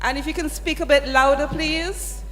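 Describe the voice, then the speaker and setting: A woman's voice: high-pitched, fairly even intonation, normal speed, a bit bright, soft background music. A woman, speaking as a sponsor's representative and leading an audience through an interactive exercise in an upbeat, coaxing way. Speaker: sponsor representative presenting at a student event. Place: large hall. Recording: hall reverb.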